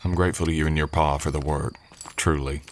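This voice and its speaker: A man playing a polite drifter cowboy hired on an Old West ranch, talking in an unhurried folksy drawl in an ASMR roleplay. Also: very deep voice, steady tone, slight background noise. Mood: modest, good-natured. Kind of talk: ASMR roleplay acting, addressing the listener.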